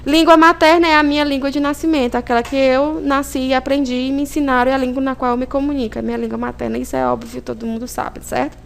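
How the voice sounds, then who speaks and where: High-pitched Brazilian woman teaching. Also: fairly even intonation, quick pace, gravelly, few pauses. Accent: Brazilian Portuguese. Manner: didactic, conversational, asks and answers own questions, frequent tag questions. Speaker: teacher presenting a video lesson. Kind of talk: informal recorded lecture.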